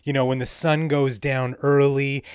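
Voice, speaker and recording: A man's voice: medium pitch, level tone, moderate pace, clean tone, dry recording, studio quality. A man hosting a podcast in a casual, conversational way. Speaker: podcast host. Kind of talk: casual interview and opinion rant.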